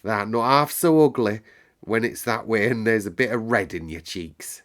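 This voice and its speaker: A man voicing a warm, blunt young housemaid from a storybook, in broad, teasing rustic dialect. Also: on the low side, moderate pace, close to an everyday voice. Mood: teasing, admiring. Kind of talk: storybook character reading.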